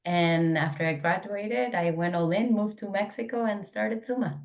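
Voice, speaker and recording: A woman's voice: medium pitch, normal speed. Eager young woman founder, chatty. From Venezuela. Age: young adult. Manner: eager, talkative, frequent fillers and restarts. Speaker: startup founder and guest. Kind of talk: casual interview answers.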